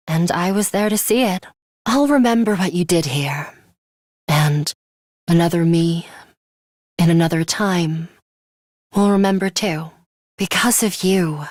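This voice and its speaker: An actress playing a stern, cool-headed young woman fighter in a video game, with a blunt, measured and analytical way of talking. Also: medium pitch, no room sound, studio quality. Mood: solemn, gentle. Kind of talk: dramatic English video game voice acting.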